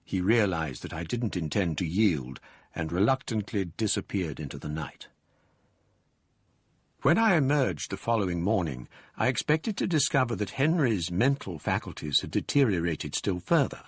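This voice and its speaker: An actor narrating as a reflective gentleman, recounting events in a measured, formal way in a radio drama. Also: deep voice, big pitch swings, slightly rough, dry recording, clean studio sound, exaggerated voice.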